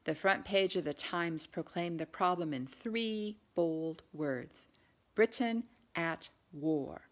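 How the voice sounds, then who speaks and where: A woman's voice: on the low side, takes it slowly. A woman reading her own novel aloud in an audiobook narration style.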